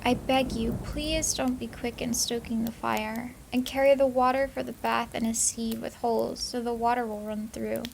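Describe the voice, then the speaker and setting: A woman's voice: on the high side, level tone, moderate pace, gravelly, hardly any pauses, slight background noise, low-quality recording. A woman voicing a timid but brave little girl from a storybook, speaking politely and earnestly. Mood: meek.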